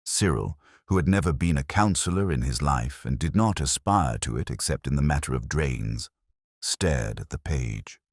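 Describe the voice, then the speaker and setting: A man with an extremely low, slightly rough voice narrates a mystery story. His delivery is measured and dryly wry, with lively rises and falls. The sound is close and dry, with little room around it. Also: dry recording. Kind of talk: scripted audiobook-style mystery narration.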